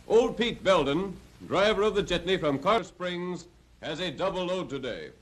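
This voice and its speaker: An actor playing the dramatic announcer of an old-time western radio serial, with theatrical delivery. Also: male voice, high voice, lively intonation, moderate pace. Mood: excited.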